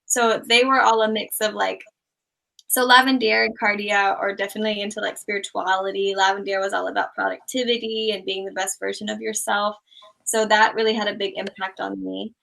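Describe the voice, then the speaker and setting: A woman's voice: mid-range voice, a little fast, creaky, rough recording. A young woman who grew up in Thailand, chatting casually as an interview guest, with a rambling manner full of "like" and "um". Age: young adult. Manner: relaxed, rambling, many "like" and "um" fillers. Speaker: guest, small YouTuber.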